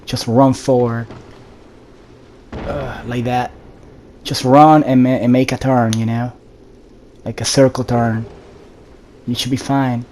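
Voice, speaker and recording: A man talks through a video game in a casual, instructive way, speaking straight to the viewer. His voice is mellow and dark, with very little rise and fall. Faint game ambience and background noise sit behind him. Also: mid-range voice, level tone, takes it slowly, pauses often. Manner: instructive, addresses viewers directly. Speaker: gaming video host. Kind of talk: casual solo gameplay commentary.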